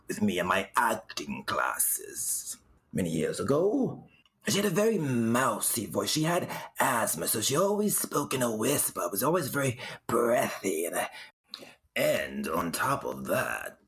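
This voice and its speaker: A man's voice: medium pitch, fairly bright tone, exaggerated voice. A man playing an affected, haughty old theatre uncle who tells gossipy stories in a grandiose, dramatic drawl. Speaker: interview guest, acting teacher. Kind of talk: theatrical storytelling in an interview.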